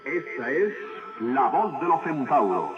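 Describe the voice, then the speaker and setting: A man's voice: mid-range voice, very animated, takes it slowly, highs cut off. A man announcing promotional radio station identifications. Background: loud music.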